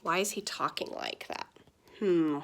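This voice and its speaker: A woman's voice: on the low side, measured pace. A woman reading a children's picture book aloud, expressively, with asides to the listeners. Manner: expressive storytelling. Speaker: story reader.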